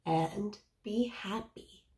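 A woman's voice: medium pitch, very slow, mellow. A woman in her thirties reading a picture book aloud to young children as a teacher, explaining simply and putting questions to her listeners.

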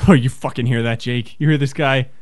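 A man's voice: medium pitch, steady tone, brisk, dry recording, clean studio sound, pitched below the performer's own voice, natural voice. A man playing a panicky, rage-prone castaway in a comic radio play, overdramatic and stammering. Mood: indignant.

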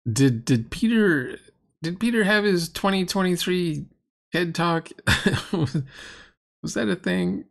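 A man's voice: high-pitched, expressive, takes it slowly, gravelly. A middle-aged man giving mocking, off-the-cuff reaction commentary in a sarcastic, grumbling manner. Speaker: commentator reacting to a recorded tabletop game.

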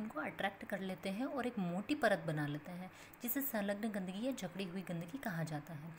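A woman teaching a home science lesson, explaining step by step in a steady, patient way while mixing in English terms. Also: medium pitch, slight background noise. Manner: steady explanatory, repeats points in plain steps, mixes English terms. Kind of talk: explaining a textbook page as a lesson.

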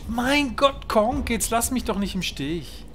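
A man's voice: extremely high pitch, lively intonation, takes it slowly, a bit bright, soft background music. A man chatting casually and joking while playing a tank game with friends, exclaiming often. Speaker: gamer. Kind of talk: casual gaming chat.

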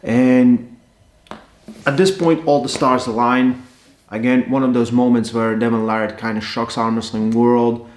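Man in his thirties, casual animated analysis, mid-pitched, roomy sound. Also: a little slow, slight background noise. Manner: animated, gesturing, conversational with fillers. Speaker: arm wrestling coach and analyst. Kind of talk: casual post-match analysis. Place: living room, seated on a sofa. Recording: echoey room.

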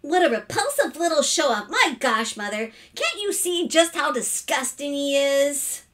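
A woman's voice: a little high, sing-song, slow, recorded from a distance. A woman voicing a dramatic, disgusted teenage big sister in a children's storybook, scornful and exasperated. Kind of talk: children's storybook read-aloud.

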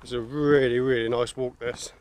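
A man's voice: medium pitch, fairly even intonation, normal speed, a little mellow. A man, chatting to camera as he hikes a coastal path. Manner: chatty, plain-spoken, talking while walking. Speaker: hiking vlogger. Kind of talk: casual walking vlog to camera. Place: outdoors on a wooded coastal cliff path. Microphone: handheld camera held at arm's length.

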